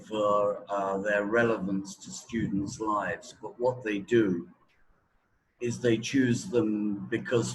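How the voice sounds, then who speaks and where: A man of sixty with a fairly low voice speaks very slowly over faint background noise. He is a native English speaker giving his opinions as an interview guest. He is deliberate and halting, choosing his words as he goes. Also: rough recording. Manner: halting, deliberate, thinking aloud. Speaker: teacher trainer in reading, interview guest. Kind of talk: interview talk, explaining opinions.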